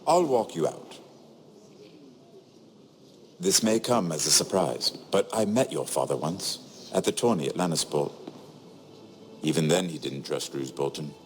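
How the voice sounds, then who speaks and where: A man's voice: very deep voice, expressive, a little slow, long pauses, a little hiss or hum. An actor playing a shrewd, sardonic nobleman in a fantasy game, with a dry, wry and courteous manner. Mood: courteous sympathy. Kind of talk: dramatic fantasy game acting.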